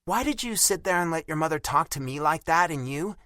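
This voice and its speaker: A man's voice: a little high, sing-song, normal speed, no room sound, clean studio sound, pitched above the performer's own voice. A man voicing an irritated young American woman who talks bluntly and with sarcastic bite. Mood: angry. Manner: sharp, sarcastic, cutting.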